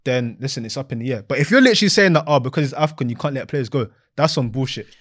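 A man co-hosting a casual football chat, animated and slangy, laughing often. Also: medium pitch, quick pace. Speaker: podcast co-host.

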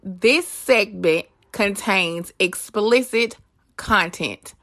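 A woman hosting a podcast in a casual, effusive, informal way, quick to laugh. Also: mid-range voice, a bit bright, no room sound. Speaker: podcast host.

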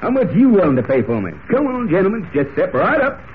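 A folksy, stubborn cowboy drawls in an American Western accent, his raised voice leaping up and down excitably. It is broad radio serial acting on a thin vintage recording with faint hiss. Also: sits high, fast talker, narrow, tinny audio. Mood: cheeky.

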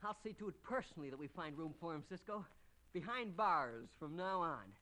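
An actor playing an eager, hot-tempered young cowboy deputy in an old-time radio western. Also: male voice, fairly high pitch, lively intonation, a little fast, close to an everyday voice. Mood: earnest. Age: young adult. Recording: noisy old radio recording.

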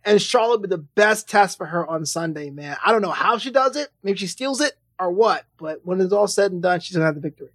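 A man's voice: high-pitched, expressive, quick pace, few pauses, fairly bright tone, no echo, clean studio sound. A man co-hosting a wrestling podcast with casual, teasing banter.

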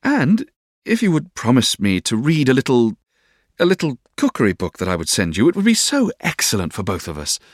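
A man voicing an earnest, ardent young Victorian gentleman in love, tender and exhorting, for an audiobook. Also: medium pitch, huge pitch swings, fairly quick, dry recording, studio quality, pitched above the performer's own voice. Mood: fervent. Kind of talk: audiobook character voice.

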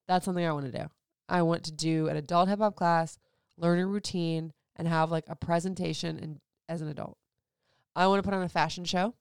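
A woman's voice: lowish voice, clean tone, dry recording, clean studio sound. A woman in her thirties from Florida, chatting candidly as a host answering listener questions, rambling with lots of "like"s. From Florida, USA. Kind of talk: casual chat.

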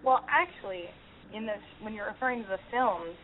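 A woman hosting a podcast, chatting with a caller and then telling a story in a talkative way, with lots of restarts and "like". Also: mid-range voice. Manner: talkative, quick restarts, frequent "like". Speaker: podcast host. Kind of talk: casual call-in chat and storytelling. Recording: phone-like narrow band with faint hiss.

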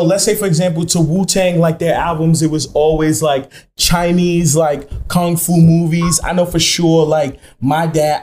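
Higher-voiced man hosting a casual podcast. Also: a little mellow. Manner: informal, meandering questions with analogies. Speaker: podcast host. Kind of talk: casual interview chat.

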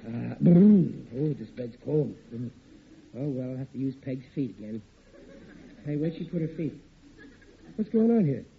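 A man's voice: medium pitch, animated, a little slow, gravelly, pauses often, phone-quality sound. Blustery working-class family man, thin old radio. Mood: tired, then worried. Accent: American. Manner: folksy, ungrammatical, grumbling. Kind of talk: old radio sitcom acting. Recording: thin, noisy vintage radio recording.

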